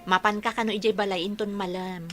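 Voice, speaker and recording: An actress playing a bashful, mildly protesting young working daughter in a radio drama. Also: female voice, medium pitch, flat delivery, fast, sharp tone, soft background music, natural voice. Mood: friendly. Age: young adult.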